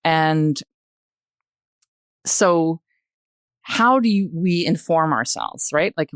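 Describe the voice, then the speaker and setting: An older woman with a Canadian accent, a guest in a casual discussion who thinks aloud haltingly and rephrases as she goes. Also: sits low, expressive, no room sound. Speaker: podcast guest, retired journalist.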